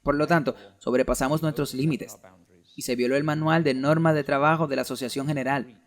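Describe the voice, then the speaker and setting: A man's voice: mid-range voice, fairly even intonation, fairly quick. A man giving a sermon-style lecture in an earnest, argumentative way, reading out quoted documents as he makes his case. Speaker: preacher giving a talk.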